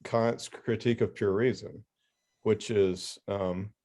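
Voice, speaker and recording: Low, mellow-voiced male podcast host. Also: fairly even intonation, takes it slowly. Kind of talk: casual interview chat.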